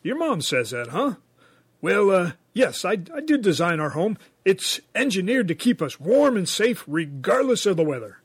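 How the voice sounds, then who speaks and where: A man's voice: sits high, sing-song, moderate pace, slightly rough, dry recording, studio quality, heavily acted. A man playing an earnest, bumbling handyman dad in a comedy radio sketch, sheepish and hesitant when explaining himself. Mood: sheepish, defensive.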